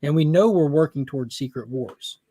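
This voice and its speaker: A man's voice: on the high side, fairly quick, warm tone, no room sound. Opinionated man, dry close sound. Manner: opinionated, talks in long explanations. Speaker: panelist. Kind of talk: casual panel chat.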